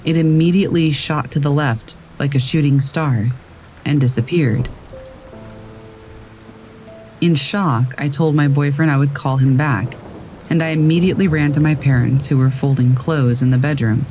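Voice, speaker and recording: A man calmly reading scary stories aloud as a narrator, in a steady storytelling manner. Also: a little high, moderate pace, soft background music, rough recording. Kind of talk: scripted narration of reader submissions. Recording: faint rain in the background. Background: rain.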